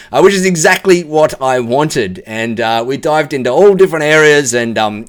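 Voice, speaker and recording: A man with an Australian accent talks through a client testimonial in a loose, chatty way. His voice sits a little higher than most men's. He sounds candid and unrehearsed, and he keeps restarting his sentences. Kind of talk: casual spoken testimonial.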